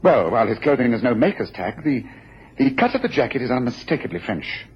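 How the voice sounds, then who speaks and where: A man playing a brisk, observant, commanding gentleman detective in a radio drama, speaking in an analytical, precise way. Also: mid-range voice, very animated, lightly acted. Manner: analytical, precise, deliberate, authoritative. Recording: muffled, faintly noisy radio drama recording.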